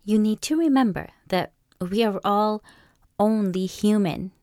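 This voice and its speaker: Calm Peruvian-born woman podcaster, dry sound. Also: medium pitch, measured pace, dry recording. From Peru. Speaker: podcast host. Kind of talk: scripted solo monologue.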